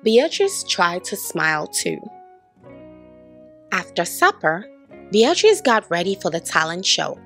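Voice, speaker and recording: A woman giving an expressive children's storybook read-aloud, putting on voices for the characters. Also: on the low side, takes it slowly, pauses often, faint music behind. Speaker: storybook reader.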